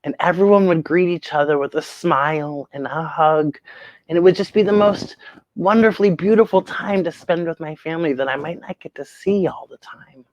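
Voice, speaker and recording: Children's host, theatrical delivery, drawn-out emphasis, addressing kids, dry muffled recording. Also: mid-range voice, no echo.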